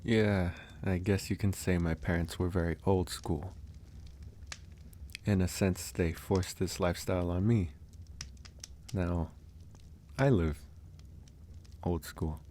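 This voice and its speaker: Man playing a deep, gentle young werewolf. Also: steady tone, slight background noise. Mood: relaxed, friendly. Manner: soft-spoken, reassuring, unhurried. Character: gentle young male werewolf living alone on a mountain. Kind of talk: ASMR roleplay.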